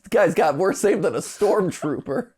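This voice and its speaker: A man hosting a reaction show, giving excited, joking and incredulous commentary full of exclamations. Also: high-pitched, moderate pace, husky.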